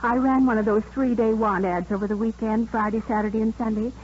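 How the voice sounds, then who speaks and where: A woman's voice: on the high side, steady tone, a little fast, a little mellow, lightly acted. An actress playing a talkative, proper, aggrieved woman who runs a nursery school, in a 1950s radio drama. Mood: chatty, earnest. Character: indignant, chatty woman running a nursery school. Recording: muffled old radio, faint noise.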